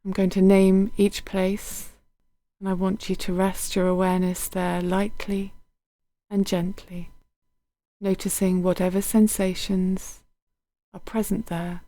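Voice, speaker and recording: A woman guiding a body scan meditation in a gentle, unhurried, soothing manner, letting her phrases end softly. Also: medium pitch, little pitch movement, no room sound. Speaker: meditation guide.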